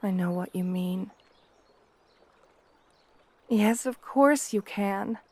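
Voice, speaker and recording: A woman playing a shy, gentle, devoted young princess bride in an ASMR roleplay, speaking softly and hesitantly, with deference. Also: mid-range voice. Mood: earnest, demure.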